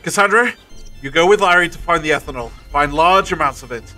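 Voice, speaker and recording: Guy acting commanding time traveller hero, audio drama, bright sharp voice, faint music behind. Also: a little high, lively intonation, fairly quick. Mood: urgent.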